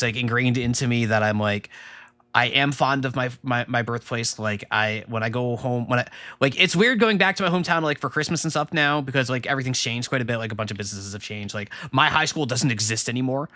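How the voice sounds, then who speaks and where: A man's voice: medium pitch, fast, bright, forceful tone, no echo. A man with a Rhode Island accent, a game developer chatting casually on a live stream, rambling with lots of fillers and laughs.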